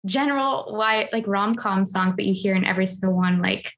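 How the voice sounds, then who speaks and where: A woman's voice: mid-range voice, fairly quick. A woman talking casually on a book club podcast, enthusiastic and playful.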